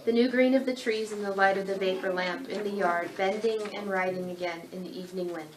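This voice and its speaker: A roomy recording with faint noise behind a woman narrator. She reads literary prose at a middling pitch, as scripted narration. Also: fairly even intonation, brisk.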